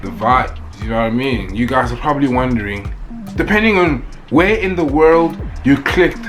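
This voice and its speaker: A man's voice: mid-range voice, expressive, normal speed, a bit bright, faint music behind. A young man with a South African accent, talking casually and rambling a little in a one-take vlog. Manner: chatty, rambling, loose and playful. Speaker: vlogger.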